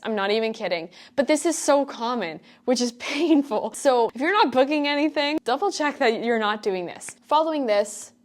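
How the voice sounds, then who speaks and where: A young woman in her twenties, an acting student, giving casual and emphatic audition advice to camera, with lots of "like" and asides. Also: on the high side. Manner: chatty, emphatic, full of "like" and asides. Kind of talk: casual tutorial vlog to camera.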